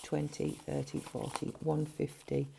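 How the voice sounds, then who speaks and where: A middle-aged woman with a gruff voice, chatting casually as a budgeting vlogger while counting money at a table at home. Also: deep voice, monotone, measured pace, mellow, a little hiss or hum, rough recording. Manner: chatty, counting notes under her breath. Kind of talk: casual cash-stuffing vlog narration. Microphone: overhead camera framing hands.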